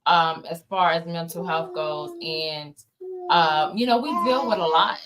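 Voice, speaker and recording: A woman's voice: on the low side, unhurried. An American woman talking casually as an interview guest, informal and emphatic, repeating words for emphasis. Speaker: interview guest, playwright and producer.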